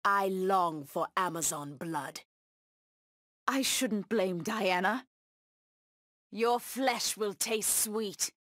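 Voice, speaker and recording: An actress voicing a fierce, bloodthirsty feline huntress in a video game, with a menacing, haughty delivery. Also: female voice, mid-range voice, extremely slow, long pauses, fairly bright tone, no echo, clean studio sound. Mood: menacing, predatory. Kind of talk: video game voice acting, short combat taunts.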